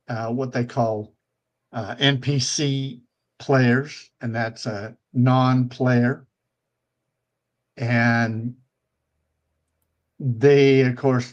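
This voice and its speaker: A man in his seventies hosts a casual live-stream talk show. He rambles with lots of fillers and restarts. His voice sits at an ordinary middle pitch. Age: 70s.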